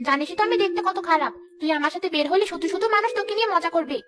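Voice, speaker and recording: A woman voicing a protective, devoted ghost mother in a cartoon, with a brisk, expressive delivery. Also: very high voice. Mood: worried. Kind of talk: cartoon character acting.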